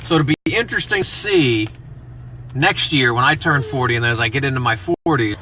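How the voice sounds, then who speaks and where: A man's voice: medium pitch, fairly quick, bright, forceful tone, poor audio. Easygoing man talking while driving. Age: 30s. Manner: easygoing, explains at length. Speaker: family vlogger, husband. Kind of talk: casual chat. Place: driving a car. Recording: car road noise behind.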